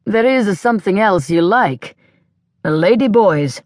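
A woman voicing a brash, flirtatious man who speaks slow, halting broken English. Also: medium pitch, lively intonation, a little mellow, dry recording, clean studio sound. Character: brash flirtatious Italian man, self-assured.

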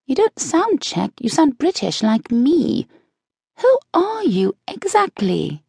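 Bubbly high young nun, asks nonstop questions, dry close recording. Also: female voice, huge pitch swings, creaky, warm tone, no echo, full character voice. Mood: curious. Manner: curious, rapid questions, unafraid. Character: chatty young British woman disguised as nun. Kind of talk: audiobook dialogue.

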